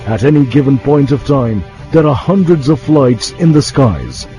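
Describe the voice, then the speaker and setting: A man's voice: mid-range voice, sing-song, normal speed. A man delivering scripted documentary narration, as a television narrator. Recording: loud music bed under the voice.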